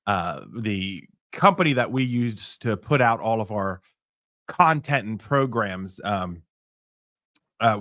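A man's voice: fairly low pitch, fairly bright tone, dry recording, clean studio sound. A middle-aged man with a cold hosting a casual morning live stream, rambling with many restarts and fillers. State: congested with a cold.

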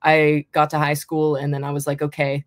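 Slightly raspy young woman, rambling. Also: completely flat delivery, normal speed, no echo, clean studio sound. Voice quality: slight rasp in a deep speaking voice. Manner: rambling, lots of likes and restarts. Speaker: singer-songwriter guest. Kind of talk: casual interview talk.

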